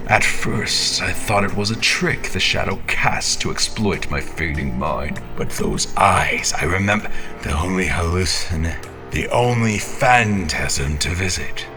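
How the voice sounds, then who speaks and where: A man's voice: deep voice, animated, measured pace, over music. A man playing an obsessed, menacing, half-mad champion in a theatrical monologue, grandiose and brooding, with whispery breaths. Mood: haunted, intense.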